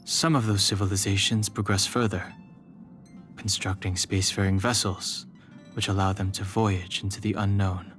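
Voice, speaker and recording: A man voicing a calm, reserved young guardian in a video game, measured, serious and understated. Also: sits low, faint music behind. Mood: reflective.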